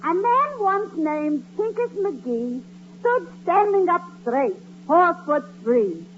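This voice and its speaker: A woman's voice: very high voice, very animated, slow, slight background noise, heavily acted. A woman playing a quirky, proud housewife who tells tall tales in thick dialect and mangled grammar, in 1940s radio comedy style. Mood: proudly boastful. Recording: muffled vintage radio recording with music.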